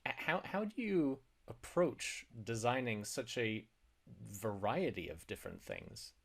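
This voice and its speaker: A man's voice: medium pitch, wildly swooping pitch, unhurried, vocal fry, husky. A man hosting a podcast, asking his guest conversational, probing questions. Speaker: podcast host. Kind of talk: podcast interview and self-promotion.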